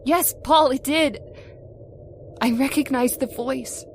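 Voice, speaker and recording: A woman's voice: sits high, slow pace, a little hiss or hum. A woman playing a troubled, entranced wife in an old-time radio horror drama, earnest and dramatic. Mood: haunted. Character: troubled, entranced young wife drawn to the graveyard. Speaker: voice actor.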